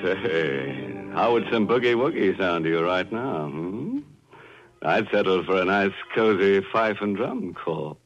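A man's voice: low voice, expressive. A man hosting a 1940s radio mystery show in a sardonic, macabre, tongue-in-cheek style. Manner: sardonic, macabre chuckling, drawn-out sign-off. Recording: muffled 1940s radio recording, music behind.

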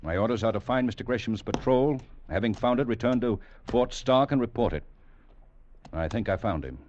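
An American actor playing a stern, exacting older cavalry captain in a radio drama, speaking in a measured, formal, lecturing way. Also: male voice, medium pitch, expressive, darkish tone, lightly acted. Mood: stern, commanding. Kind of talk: 1940s-50s radio acting. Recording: dull, hissy old radio recording.

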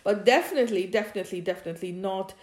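A woman's voice: medium pitch, racing, darkish tone. A woman giving an informal opinion monologue as a podcast host, emphatic and repeating phrases for stress. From India.

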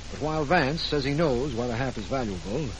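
An actor playing a formal, measured district attorney in 1940s radio drama style. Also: male voice, mid-range voice, animated, lightly acted. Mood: formal recap. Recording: noisy old 1940s radio recording.

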